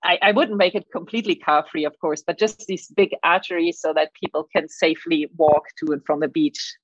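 A middle-aged woman with a German accent speaks in a fairly low voice, earnest and a little halting as she repeats words while thinking. The recording is dry and slightly dull. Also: steady tone, slightly rough, no echo. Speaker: climate scientist guest. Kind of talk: interview answers.

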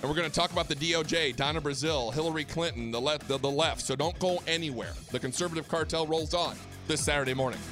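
A man's voice: on the high side, quick pace, few pauses, music in the background. A man co-hosting a talk radio show, talking conversationally and reading news articles aloud. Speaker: radio co-host. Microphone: studio mic.

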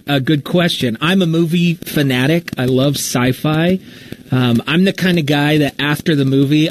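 An American man chatting casually and informally as he hosts a live question session, with a self-deprecating manner. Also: medium pitch. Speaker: podcast writer and talk show host. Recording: noisy live stream audio.

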